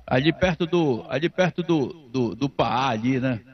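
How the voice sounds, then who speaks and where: A man hosting a sports call-in radio show, energetic and teasing, repeating his phrases. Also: medium pitch, moderate pace. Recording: muffled lo-fi radio sound. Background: faint music.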